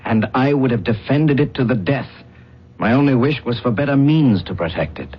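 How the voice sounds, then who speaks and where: An actor playing a proud, bitter disgraced alien space captain in a radio drama, with formal, theatrical defiance. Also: male voice, medium pitch, expressive, moderate pace, natural voice. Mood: defiant. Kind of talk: old-time radio drama acting. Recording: dull, noisy radio drama recording.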